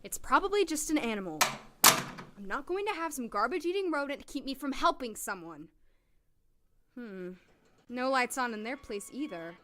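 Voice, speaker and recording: A woman's voice: sits high, animated, normal speed, long pauses, background noise. A young woman playing a chatty, upbeat doctor new in town in an audio drama, with a jokey, nervous rambling manner. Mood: worried.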